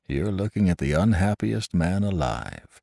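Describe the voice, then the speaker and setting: A man voicing a weary, melancholy company president with a measured, restrained way of talking. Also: low-pitched, very animated, gravelly, a little mellow, dry recording, clean studio sound, heavily acted. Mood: weary gloom.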